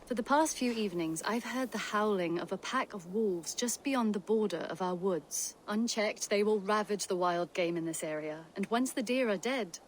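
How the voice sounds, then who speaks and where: A woman's voice: mid-range voice, moderate pace, few pauses, slight background noise, lightly acted, rough recording. A woman playing a shrewd, confident hunter and trader in a video game, polite, articulate and businesslike. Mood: concerned, resolute. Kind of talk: video game character acting.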